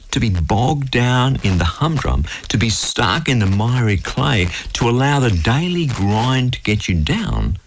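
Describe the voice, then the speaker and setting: A man hosting a scripted radio devotional in an earnest way, often putting rhetorical questions to the listener. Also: on the low side. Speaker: devotional program host.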